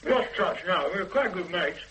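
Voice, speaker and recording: A cheeky young police sergeant. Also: male voice, high-pitched, normal speed, rough recording. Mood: eager. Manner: cheeky, lively, persuasive. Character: eager young male detective sergeant, cheeky. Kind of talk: 1970s radio drama acting.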